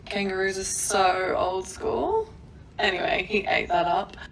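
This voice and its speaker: A woman's voice: mid-range voice, unhurried, vocal fry. An Australian woman in her thirties tells a story with a dry, sarcastic edge. She sits some way from the mic, with faint hiss around her voice. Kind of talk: storytelling anecdote. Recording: distant mic with faint noise.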